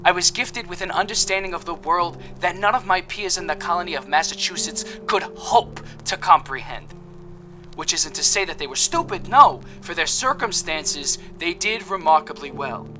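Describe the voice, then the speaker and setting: A man's voice: high voice, moderate pace, music in the background, natural voice. An actor playing a cheerful, boastful male apothecary suspect in an audio drama, with a chipper, self-satisfied, theatrical manner. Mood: storytelling.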